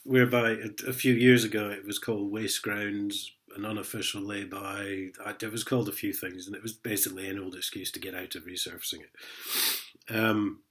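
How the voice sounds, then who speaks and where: A man's voice: on the low side, flat delivery, normal speed, a bit bright. A man with a sniffly head cold, chatting in a sarcastic vlog and chuckling as he reads letters aloud. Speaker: vlogger. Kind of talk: casual ranting vlog.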